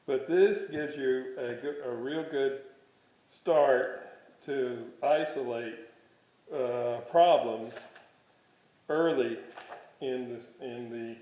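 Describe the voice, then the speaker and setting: A man giving an informal workshop explanation in a halting, rambling way, often correcting himself. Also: mid-range voice. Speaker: radio restorer presenting his project. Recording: roomy echo, dull lo-fi sound.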